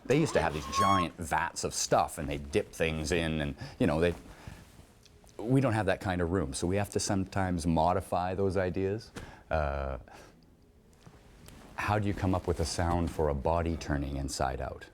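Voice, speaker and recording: A man's voice: deep voice, moderate pace, a little hiss or hum. A man, a radio theatre performer, talking casually in an interview. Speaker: radio theatre performer and sound effects maker.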